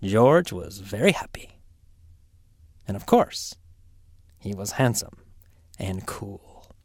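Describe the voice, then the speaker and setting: A middle-aged man who teaches English, telling a simple story to learners slowly and with lots of repetition. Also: on the low side, dry recording. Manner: slow, animated, with heavy repetition for learners.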